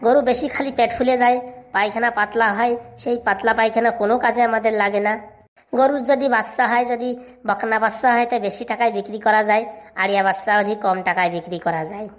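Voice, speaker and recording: A woman plays a cheeky cartoon schoolboy with a highish voice. He rattles things off at great speed, like a recited lesson, sure of himself and bossy with it. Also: fairly even intonation, fast talker, lightly acted. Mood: eager reciting. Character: cheeky know-it-all village schoolboy Hagu, bossy and boastful. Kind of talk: comic cartoon dialogue.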